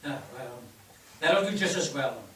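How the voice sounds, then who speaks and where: A man playing a plain-spoken, gruffly friendly mill foreman in a staged play reading. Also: mid-range voice, animated, unhurried, pushed and bright. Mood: brisk, friendly. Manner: informal, no-nonsense. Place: theatre stage. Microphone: distant microphone. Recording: distant, echoey stage with noise.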